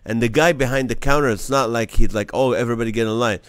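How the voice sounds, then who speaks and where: A man's voice: medium pitch, fast, no room sound. A man who grew up in the Middle East, hosting a casual live-stream comedy chat and laughing between his jokes. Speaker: comedian host.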